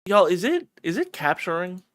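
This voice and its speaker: A livestreamer chatting casually and excitably with viewers, swearing freely as they banter. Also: mid-range voice, normal speed, no room sound, studio quality. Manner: excitable, profane, bantering with chat. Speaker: streamer. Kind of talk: casual livestream chat and reading a visual novel aloud.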